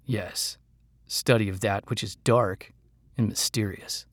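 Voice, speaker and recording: A man's voice: lowish voice, normal speed, dry recording, clean studio sound, natural voice. Guy reading a cold sneering knight, stern n suspicious, accusing, storybook fantasy.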